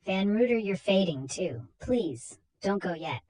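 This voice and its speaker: A young woman painter from a gothic storybook, as a woman reader plays her, frightened yet determined. Her delivery is dramatic and pleading, at an average female pitch. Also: expressive, measured pace, a little mellow.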